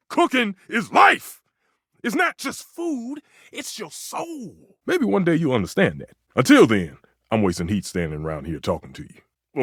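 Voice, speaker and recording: An actor playing a proud, temperamental, food-obsessed stove chef in a video game, with pompous, flowery delivery and exasperated outbursts. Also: male voice, mid-range voice, fairly bright tone, husky, no room sound, clean studio sound, exaggerated voice. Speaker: voice actor in a dating simulator game. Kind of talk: theatrical video game character acting.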